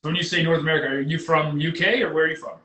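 A man's voice: fairly high pitch, steady tone, racing, sharp tone. A man hosting a podcast, asking casual, upbeat questions and joking easily with his guest. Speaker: podcast host. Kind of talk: casual interview chat. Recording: roomy echo.

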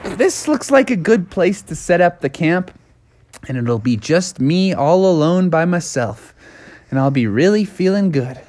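A man's voice: high-pitched, big pitch swings, unhurried, darkish tone, voice pushed up. Man doing a blustery, grumpy storybook bear. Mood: contented. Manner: blustery, slangy, incredulous. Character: grumpy young grizzly bear camper, easily exasperated.

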